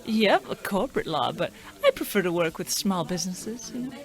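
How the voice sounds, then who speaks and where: A woman's voice: mid-range voice, animated, moderate pace, lightly acted. A woman playing a blunt, fed-up wife in a comedic radio play, with mock-melodramatic delivery. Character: fed-up, easily impressed wife, a lawyer. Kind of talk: comedic old-time radio play acting.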